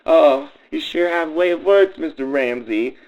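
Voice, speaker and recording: A man's voice: on the high side, moderate pace, muffled recording, close to an everyday voice. A man voicing a fawning, scheming young housewife in a campy comedy radio play, gushing and stretching out words theatrically. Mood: gushing, sweet.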